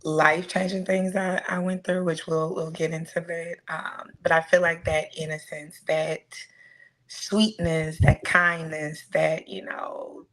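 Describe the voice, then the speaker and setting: Low-voiced woman. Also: flat delivery, a little slow, a bit bright, low-quality recording. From South Side of Houston, United States. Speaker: interview guest. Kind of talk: casual personal storytelling.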